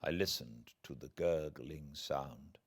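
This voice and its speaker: A man with a British accent reading classic literature aloud as an audiobook narrator, in a theatrical, deliberate way with dramatic pauses. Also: very deep voice, husky. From Britain.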